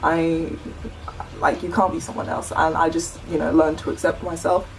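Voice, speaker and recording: Deep-voiced young woman, chatty vlog. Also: little pitch movement, moderate pace, distant mic, soft background music, rough recording. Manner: chatty, earnest, many "like" and "you know" fillers. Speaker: vlogger. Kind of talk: casual chat to camera.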